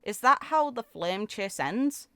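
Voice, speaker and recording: A woman's voice: mid-range voice, expressive, slow, fairly bright tone, smooth, no echo. A woman gaming creator reading story text aloud expressively, with casual commentary. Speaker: gaming video creator reading game character lore aloud. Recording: music playing under the voice. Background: background music.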